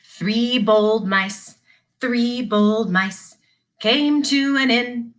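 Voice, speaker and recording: A woman teacher giving a sing-song read-along of a children's story, with deliberate, repeated phrasing. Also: on the high side. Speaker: teacher reading aloud.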